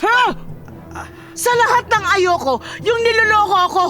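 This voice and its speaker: A domineering, greedy Filipina boss in a radio drama. Her voice is extremely high and hard-edged, with a sharp tongue and faint music underneath. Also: normal speed. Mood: furious, accusing.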